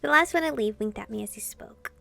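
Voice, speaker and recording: A woman's voice: fairly high pitch, animated, fairly quick, vocal fry, sharp tone. A woman gamer reading a visual novel aloud expressively, in the style of a casual playthrough.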